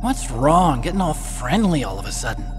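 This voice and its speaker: An actor playing an earnest, sensitive, nerdy young robotics engineer and guilt-ridden idealist in a video game, with a boyish manner. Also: male voice, on the high side, big pitch swings, normal speed, over music. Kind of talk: video game cutscene acting.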